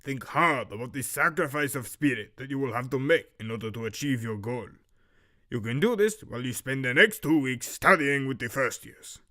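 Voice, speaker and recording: A man voicing a stern master archery instructor with a muddled, Romanian-like Eastern European accent, lecturing in a measured, severe way for an audio drama. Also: medium pitch, sharp tone, close to an everyday voice. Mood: grave, commanding. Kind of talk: fan audio drama character acting.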